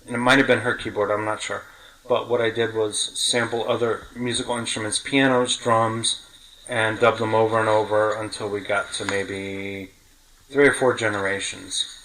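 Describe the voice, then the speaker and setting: A man's voice: lowish voice, flat delivery, normal speed, pushed and bright, a little hiss or hum, low-quality recording. A middle-aged man hosting a podcast, reminiscing casually in detail and correcting himself as he goes. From New York City. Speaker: podcast host.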